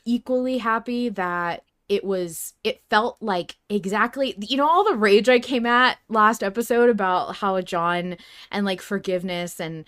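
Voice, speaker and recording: A woman with a clear, resonant voice in a very dry recording space. She talks as a podcast co-host in casual fan discussion. Her delivery is emphatic and opinionated, with offhand swearing, at a middling pitch. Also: a little slow, fairly bright tone, dry recording.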